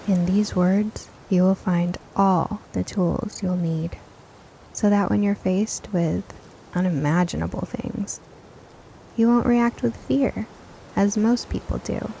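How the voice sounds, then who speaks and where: A woman narrating a scripted first-person audio drama in an expressive, deliberate storytelling manner. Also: medium pitch, creaky, slight background noise, poor audio.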